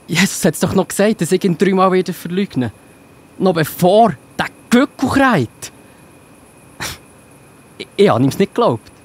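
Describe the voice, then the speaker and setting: A man's voice: high-pitched, lively intonation, measured pace, long pauses, husky, heavily acted. A man with a Swiss German accent playing a frightened, guilt-stricken Bible disciple in an emotional children's audio drama. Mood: panicked. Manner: emotional, exclamatory.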